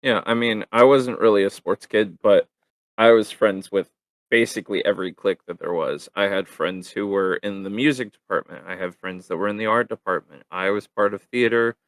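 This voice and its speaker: A man's voice: on the low side, steady tone, moderate pace, no room sound. A young man hosting a casual podcast, rambling with lots of ums and easy laughter. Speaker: podcast host.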